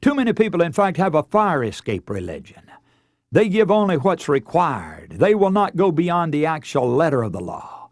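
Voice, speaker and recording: American male radio preacher, lively pitch swings. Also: dry recording, clean studio sound. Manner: earnest, emphatic, deliberate emphasis with illustrative storytelling. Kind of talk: radio sermon.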